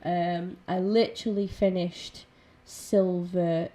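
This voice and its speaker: A woman chatting to viewers on her gaming stream, her raspy voice croaky and a bit nasal from being ill, rambling with lots of ums and restarts. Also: lowish voice, flat delivery, slow, mellow. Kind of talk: casual gaming stream chat.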